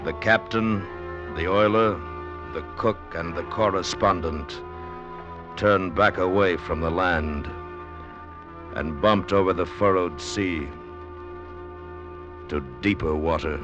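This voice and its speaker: An actor as the grave, solemn narrator of a literary sea tale in an old radio drama. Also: male voice, low voice, lo-fi sound, music in the background. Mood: grave, brooding. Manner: solemn, deliberate.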